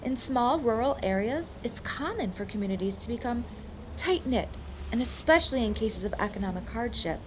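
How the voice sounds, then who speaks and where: A woman's voice: on the high side, expressive, normal speed, a little hiss or hum, natural voice, poor audio. A woman acting as a skeptical, prim university academic in a radio play, with a firm, articulate, lecturing manner. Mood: insistent, lecturing.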